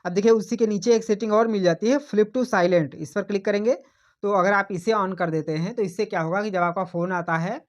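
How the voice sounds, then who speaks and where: A man's voice: sits high, muffled recording. A man hosting a tech tutorial. He walks patiently through phone settings step by step, repeats his points and keeps pointing things out.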